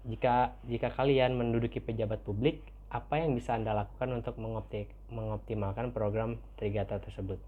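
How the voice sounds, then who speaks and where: The audio is narrow and muffled, like a phone line. A young man with an Indonesian accent speaks at a middling pitch. He presents like a student cadet before a lecturer, semi-formal and halting, doubling back to repeat his phrases. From Tangerang, Indonesia. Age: young adult.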